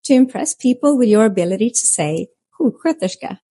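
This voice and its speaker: A woman narrating a language lesson in a slow, measured way. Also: a little high, expressive, dark tone, no echo, clean studio sound.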